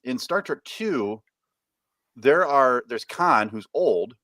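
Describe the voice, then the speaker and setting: A man's voice: medium pitch, animated, slow, sharp tone, dry recording. A man co-hosting a casual podcast, chatting about plot details in a quizzical, jokey way. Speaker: podcast host. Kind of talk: casual chat.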